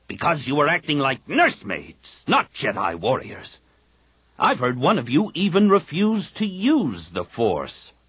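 A man's voice: fairly high pitch, huge pitch swings, unhurried, husky, no room sound, voice pushed up, heavily acted. Cocky young Jedi hothead, read by a man, raised voice, dry close sound. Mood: scornful. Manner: insistent, forceful. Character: brash young male Jedi pilot, defiant.

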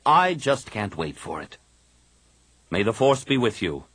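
A man voicing a defiant young male Jedi pilot, with an insistent, forceful delivery. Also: lowish voice, big pitch swings, measured pace, breathy edge, no room sound, pitched above the performer's own voice, exaggerated voice. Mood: resolute.

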